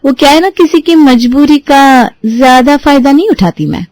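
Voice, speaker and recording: This is a young new bride, bold and sharp-tongued, pitched high and pushing hard, with a slyly bossy edge, as a woman storyteller voices her. The sound is dry and close, with no room around it. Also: animated, normal speed, no echo. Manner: indignant, sly teasing.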